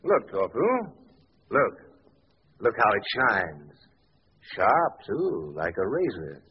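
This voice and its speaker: A man's voice: lowish voice, sing-song, slow pace, big-room sound, exaggerated voice. A male actor playing an earnest, injured doctor in an old-time radio drama. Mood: coaxing. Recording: muffled, noisy old radio recording.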